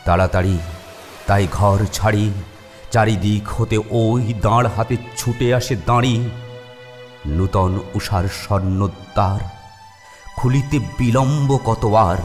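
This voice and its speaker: A man reciting poetry dramatically, in a solemn, declamatory manner with measured pauses. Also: lowish voice. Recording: long hall-like reverb. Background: music.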